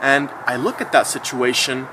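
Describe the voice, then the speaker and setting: A man in his thirties talks his thoughts through in a loose, conversational way, dropping slangy asides as he goes. His voice sits at an ordinary middle pitch. Steady street traffic hums clearly behind him. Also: normal speed. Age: 30s. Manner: relaxed and chatty, with slangy asides. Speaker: vlogger. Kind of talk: casual reflective monologue.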